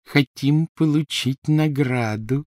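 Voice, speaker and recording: A man voicing a huge, brutish teenage boy with an oddly gentle voice, menacing and jeering. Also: a little high, slow pace, dry recording, studio quality, voice pushed up. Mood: blissful. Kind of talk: audiobook character voice.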